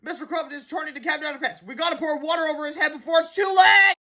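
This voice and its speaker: Man doing an urgent, high-pitched schoolboy. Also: very fast, creaky, pushed and bright, exaggerated voice. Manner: urgent, exclaiming. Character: urgent, quick-thinking schoolboy prankster leader.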